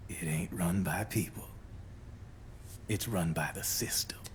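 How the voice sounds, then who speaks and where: An actor playing a smug, worldly arms dealer in a video game, explaining things in a cocky, folksy way. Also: male voice, low voice, slight background noise. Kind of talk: cinematic video game acting, expository dialogue.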